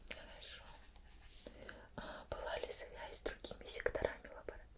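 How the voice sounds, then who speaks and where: A woman playing a patient but suspicious investigator in an ASMR roleplay, whispering slow, probing questions with pauses. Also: natural voice. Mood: calm probing.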